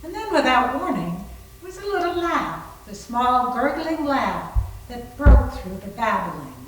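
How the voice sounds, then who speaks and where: A woman narrating a horror story in a deliberate, suspenseful dramatic reading. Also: high-pitched, very animated. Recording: very echoey room, distant, faint noise.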